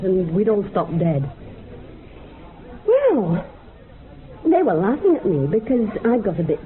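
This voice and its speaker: A woman's voice: mid-range voice, lightly acted. An actress playing a woman in a radio drama, telling a rambling, colloquial story of a haunted memory. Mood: fond, chatty. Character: woman recounting a dream of wartime dead in a club. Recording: muffled narrow-band radio recording, background hiss.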